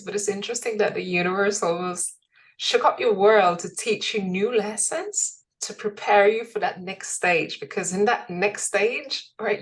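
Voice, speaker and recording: Creaky, lowish-voiced woman interviewer. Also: a bit bright. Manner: affirming, responsive to the guest. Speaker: interview host. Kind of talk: conversational interviewing.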